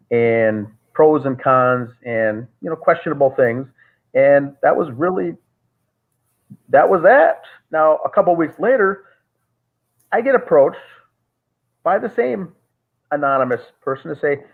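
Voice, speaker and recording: A man talking as an interview guest on a call, telling a long story with many "uh" fillers and restarts. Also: a little high. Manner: rambling, frequent "uh" fillers and restarts. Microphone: call audio. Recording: tinny phone-like call audio.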